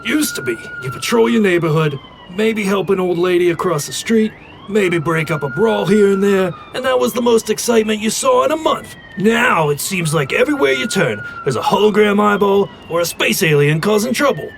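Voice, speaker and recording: High, gravelly cartoon cop. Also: male voice, moderate pace, heavily acted. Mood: grumbling. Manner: grumbling, nostalgic, excitable. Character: weary, jittery male city police officer. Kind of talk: animated audio drama acting. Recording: clear noise, sirens and vehicle behind.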